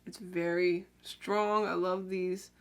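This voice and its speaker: A young woman chatting casually while she does her makeup, rambling with lots of "like" and "um" and drifting quieter as she works. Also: fairly low pitch, flat delivery, slow, clear, resonant tone. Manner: talks while applying makeup, drifts quieter then brighter. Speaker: beauty vlogger. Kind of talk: casual get-ready-with-me makeup chat.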